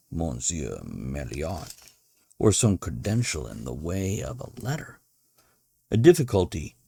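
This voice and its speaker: A man with a deep, husky voice narrates a classic story in measured, deliberate phrases. His pitch moves up and down expressively as he reads, in the manner of an audiobook. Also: dark tone, no echo, studio quality. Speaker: podcast host reading classic literature aloud. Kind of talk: audiobook-style narration of a gothic short story.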